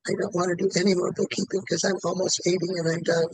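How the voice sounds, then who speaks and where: A man in his late seventies, a client in a tapping session, who repeats one statement over and over in an even rhythm. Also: sits high, fast talker, slightly rough, no room sound, poor audio. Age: 70s.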